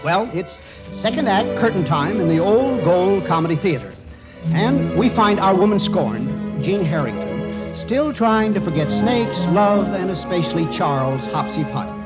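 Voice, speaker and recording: A high-pitched male host narrates a 1940s radio comedy with playful, swooping delivery. Loud music plays behind him and the recording sounds dull. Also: lively intonation, moderate pace.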